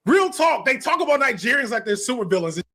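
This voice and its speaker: An American man giving opinionated, off-the-cuff commentary in an animated, emphatic, argumentative way, as a video essayist. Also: very high-pitched, quick pace. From United States.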